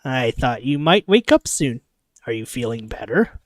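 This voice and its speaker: A streamer voicing an eager, caring male automaton with a gentle, coaxing, playful manner in a visual novel. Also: medium pitch, very animated, moderate pace, no echo, studio quality, pitched below the performer's own voice, close to an everyday voice. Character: eager, caring male automaton host, wistful about humans.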